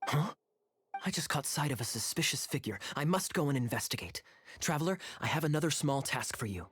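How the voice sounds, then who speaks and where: An actor playing a suave, teasing young knight captain in a video game, with a sly, playful, smooth delivery. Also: male voice, on the high side, animated, a little fast, a bit bright, a little hiss or hum.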